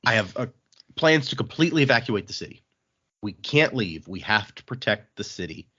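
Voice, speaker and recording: A man's voice: fairly low pitch, very animated, fairly quick, bright, forceful tone, breathy edge, lo-fi sound, exaggerated voice. Man reading as an earnest, meticulous woman planner. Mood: determined. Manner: earnest, insistent. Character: earnest, meticulous female planner pleading with officials.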